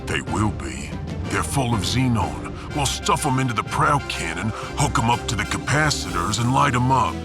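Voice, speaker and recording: A man voicing a big, gruff, battle-hungry spaceship crewman with a blunt, matey manner in a sci-fi audiodrama. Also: mid-range voice, very animated, normal speed, loud music behind the voice, close to an everyday voice. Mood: gruffly confident. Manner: blunt, terse, matey slang.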